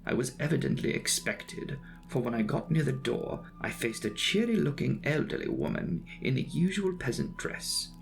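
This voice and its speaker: A man giving a measured, dramatic reading of a classic novel as an audiobook narrator. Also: medium pitch, creaky.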